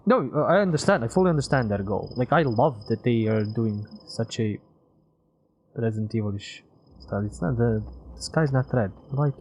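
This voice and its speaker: A man's voice: mid-range voice, animated, normal speed, a little hiss or hum, rough recording. A man doing casual live game commentary as a streamer, chatting with viewers and rambling as he thinks aloud.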